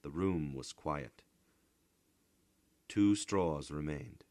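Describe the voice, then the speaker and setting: A man reads a literary story in a low voice. His pace is slow and measured, with a deliberate dramatic shape. The sound is close and dry. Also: darkish tone, no echo. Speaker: narrator, voice actor.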